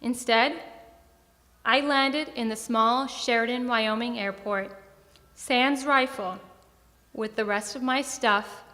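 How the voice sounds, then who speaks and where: A young woman, a military veteran, reading her own scripted personal story on stage in a measured, deliberate way. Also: a little high, pushed and bright. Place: theatre stage at an arts centre. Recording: long hall reverb.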